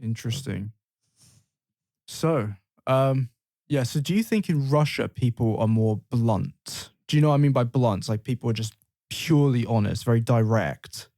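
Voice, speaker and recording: A man's voice: mid-range voice, moderate pace, warm tone, dry recording, clean studio sound. A man hosting a podcast, chatting casually, laughing easily and asking his guests questions. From England. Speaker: podcast host. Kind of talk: casual discussion.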